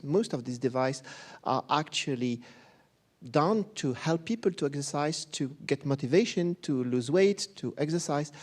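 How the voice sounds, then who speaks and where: Guy giving a talk, slightly high voice, self-deprecating and frank. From Belgium. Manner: candid, self-deprecating, lively presenter. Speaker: conference speaker, professor. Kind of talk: conference talk.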